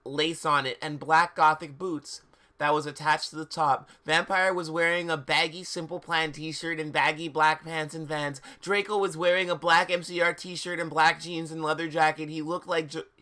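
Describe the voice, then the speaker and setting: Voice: mid-range voice, steady tone, normal speed, bright, forceful tone. A livestream host giving a comic dramatic reading of fan fiction, with mocking, casual asides and a theatrical manner.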